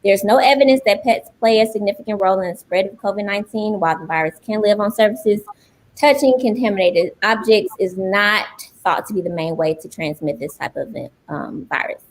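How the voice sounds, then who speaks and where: A woman trauma nurse from Memphis, explaining clearly on a panel. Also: medium pitch, brisk, poor audio. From Memphis, Tennessee. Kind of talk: panel discussion.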